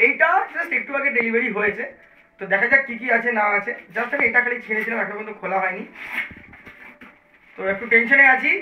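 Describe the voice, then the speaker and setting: A woman's voice: a little high, lo-fi sound, slight background noise. A woman giving a casual, chatty unboxing commentary, speaking straight to viewers with English words mixed in. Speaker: vlogger presenting a product. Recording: echoey room sound.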